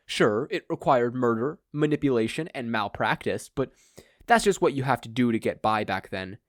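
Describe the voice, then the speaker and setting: A man's voice: medium pitch, expressive, moderate pace, no room sound, clean studio sound, natural voice. A man playing a grandiose, self-deluded male supervillain with a pompous, melodramatic, wordy delivery in a fan fiction reading. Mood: solemn.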